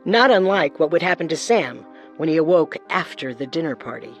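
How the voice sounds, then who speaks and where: A woman's voice: low-pitched, expressive, brisk, dull audio. A woman co-hosting a scripted true-crime show as narrator, with music underneath. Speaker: co-host and narrator.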